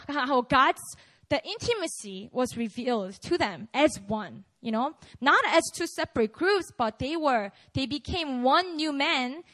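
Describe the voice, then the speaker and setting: A woman's voice: high voice, fairly bright tone. A Korean woman pastor, a native Korean speaker, giving a casual, conversational sermon at a prayer meeting, with many fillers.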